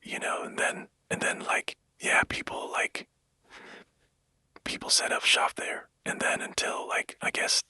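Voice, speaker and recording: A man speaking in a breathy, unvoiced whisper, rambling casually and hesitantly in a soft ASMR-like monologue. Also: measured pace, no echo.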